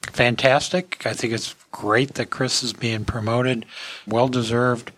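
A man's voice: mid-range voice, steady tone, slow pace, fairly bright tone, no echo, poor audio. A man hosting an industry podcast in a casual talking style. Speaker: podcast host.

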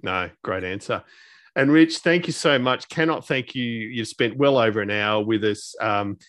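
A man with a fairly low voice hosts a podcast interview. He is conversational and effusive, full of appreciation. The sound is dry, with very little room on it. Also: moderate pace, fairly bright tone, dry recording. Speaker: podcast host. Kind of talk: casual, conversational interview hosting.

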